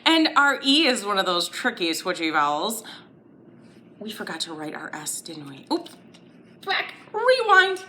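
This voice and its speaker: A bright-voiced woman leads a lesson for young children at an unhurried, slow pace. Her delivery is playful and singsong, with very lively pitch, and she uses short simple phrases and questions. There is faint noise behind her. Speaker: children's storytime host and teacher. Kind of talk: children's lesson, interactive and instructional.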